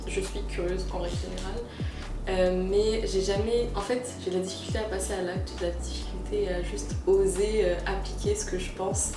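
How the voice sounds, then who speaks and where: A woman's voice: medium pitch, far from the mic. Young woman telling her story, lots of euh, roomy echo, music under it. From Algeria. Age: young adult. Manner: earnest, with frequent "euh" pauses and restarts. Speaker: student interviewee. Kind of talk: casual testimonial interview.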